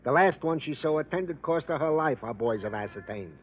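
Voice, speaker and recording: A man's voice: fairly high pitch, expressive, fairly quick, lightly acted. An actor playing a wordy, fatherly police sergeant in a radio drama, talking in a florid, mock-formal way. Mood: reporting pompously. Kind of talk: 1950s radio character acting. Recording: muffled, noisy old radio recording.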